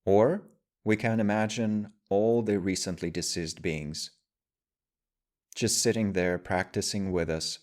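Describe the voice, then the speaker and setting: A man guiding a meditation as a teacher, speaking in measured, deliberate phrases with pauses. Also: low-pitched, a little mellow. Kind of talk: guided meditation, slow spoken instruction.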